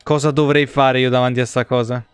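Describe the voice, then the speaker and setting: Grumbling man streamer with an even pitch. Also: brisk, smooth, no room sound, faint music behind. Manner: grumbling, foul-mouthed. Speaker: video game streamer. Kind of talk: live gameplay commentary with casual chat. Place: indoor room at a streaming desk.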